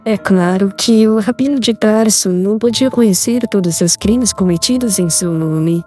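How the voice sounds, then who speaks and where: Woman playing a sincere, simple believer, earnest and plain-spoken, soft music beneath. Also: mid-range voice, normal speed, lightly acted. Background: faint background music.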